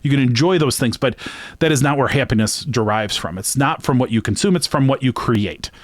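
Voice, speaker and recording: A man hosting a sports betting channel, talking alone to his viewers in a rambling, earnest, conversational way that swings between emphatic bursts and hushed asides. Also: mid-range voice, brisk, no room sound. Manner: long-winded. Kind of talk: reflective solo monologue to camera.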